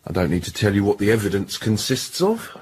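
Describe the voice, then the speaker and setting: An actor playing an English gentleman posing as a blackmailer in a radio drama, with a British accent and polite, insinuating speech. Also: on the low side, big pitch swings, brisk, husky. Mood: smug, menacing.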